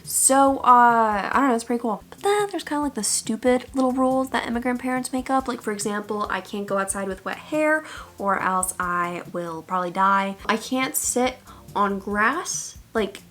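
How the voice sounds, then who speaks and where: A woman's voice: fairly high pitch, animated, normal speed, a bit bright. A young woman in her twenties from the United States, chatting casually and playfully to camera with sassy asides. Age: 20s. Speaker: YouTuber. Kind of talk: casual vlog chat.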